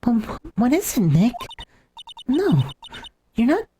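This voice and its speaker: A woman's voice: a little high, wildly swooping pitch, slow, mellow, a little hiss or hum, heavily acted. A woman voicing an anxious, doubtful teenage girl assistant in a courtroom video game, hesitant and stammering. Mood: worried. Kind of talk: voiced playthrough of a courtroom game. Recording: game music behind.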